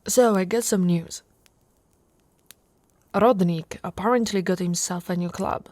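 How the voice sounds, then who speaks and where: Casting: woman to play a brawny female orc wife for ASMR roleplay; unhurried, teasing, intimate delivery with whispered dips, recorded close and dry. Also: on the low side, a little mellow, no echo, natural voice. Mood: amused, boastful. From Italy. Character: brawny affectionate female orc warrior wife, playfully boastful.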